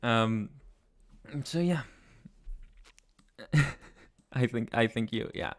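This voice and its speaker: A young man from Chile with a Chilean Spanish accent, hosting a casual livestream and answering chat in a soft, hesitant way with many pauses. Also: medium pitch, lively intonation. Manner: soft-spoken, hesitant, many ums and restarts. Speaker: ASMR channel host. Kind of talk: casual livestream chat, reading viewer comments.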